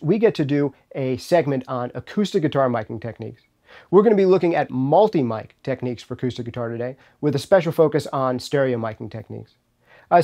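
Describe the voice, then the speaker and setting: A man in his thirties hosts an instructional video from a recording studio. His voice sits a little high, and he explains things casually, speaking to camera. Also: darkish tone. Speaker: audio engineering video host and instructor. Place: recording studio rooms, indoors.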